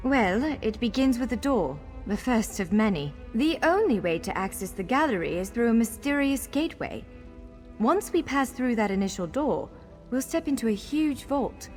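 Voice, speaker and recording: A woman playing a spirited warrior guide in a video game, brisk and encouraging but candidly wary. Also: fairly high pitch, very animated, a little mellow. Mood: wary, explanatory. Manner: brisk, encouraging, urgent in combat. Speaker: game companion and tutorial guide. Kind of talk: scripted video game dialogue. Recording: long hall reverb, clear music behind. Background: game music.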